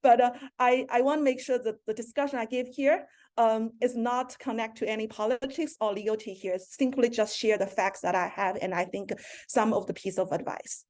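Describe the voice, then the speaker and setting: Woman, fairly high pitch, hesitant seminar talk, video call. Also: steady tone, darkish tone. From China. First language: Mandarin. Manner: halting, frequent restarts and self-corrections. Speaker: seminar speaker, professor.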